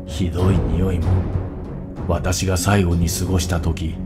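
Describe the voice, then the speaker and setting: An actor voicing a brooding, vengeful cartoon warrior animal in a grave, theatrical anime-parody style. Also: male voice, sits low, flat delivery, a little fast, loud music behind the voice, natural voice. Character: brooding vengeful warrior bear and cunning fox villain.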